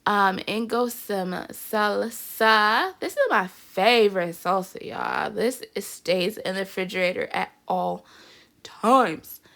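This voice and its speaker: A woman's voice: medium pitch, takes it slowly, vocal fry, a bit bright. A woman with a stuffy, congested voice, chatting upbeat through a home cooking video as the channel's host. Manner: chatty and upbeat, says "y'all" often. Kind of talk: casual cooking vlog narration.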